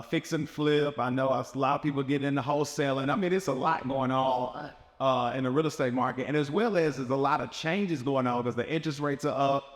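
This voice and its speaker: A man's voice: medium pitch, big-room sound. A man hosting a casual interview, encouraging and friendly-sounding, saying "bro" and "yeah" a lot. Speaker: interview host.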